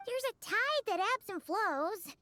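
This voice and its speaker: A woman voicing a small, childlike, perky and cheeky fairy companion in a video game, with a squeaky voice. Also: big pitch swings, takes it slowly, pushed and bright, exaggerated voice. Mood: curious, unimpressed. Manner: exclamatory, refers to self in third person.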